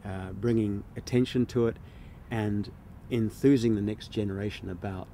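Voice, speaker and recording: Mellow, low-voiced man, measured and deliberate. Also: steady tone, slight background noise. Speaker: filmmaker being interviewed. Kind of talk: measured interview answers.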